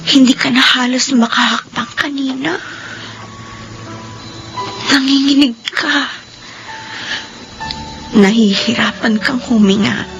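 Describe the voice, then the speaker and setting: A worried caring younger sister. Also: female voice, sits high, steady tone, slow, gravelly, pauses often, full character voice, low-quality recording. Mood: concerned. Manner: urgent, coaxing, concerned. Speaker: radio drama actor. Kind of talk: radio drama acting. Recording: radio drama with music behind.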